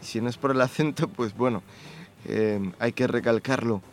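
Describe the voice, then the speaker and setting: A man's voice: mid-range voice, steady tone, normal speed. A man from Spain with a Castilian Spanish accent, co-hosting a casual radio show with wry, rambling asides. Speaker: radio co-host.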